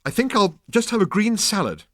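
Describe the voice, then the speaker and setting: A man voicing a squeamish, flustered young man who protests in a high, strained voice. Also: very animated, fairly quick, a bit bright, no room sound, studio quality. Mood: flustered protesting.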